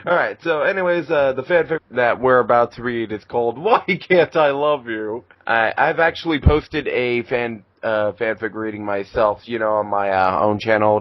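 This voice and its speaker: A man's voice: medium pitch, no room sound, dull audio. A man reading fan fiction aloud as narrator, in a casual amateur style.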